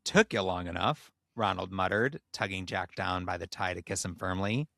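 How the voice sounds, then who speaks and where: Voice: low-pitched, moderate pace, creaky, a bit bright, no echo. Podcast reader with a dry, close sound. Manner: playful, dramatic reading broken by quips. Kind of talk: reading fan fiction aloud with joking asides.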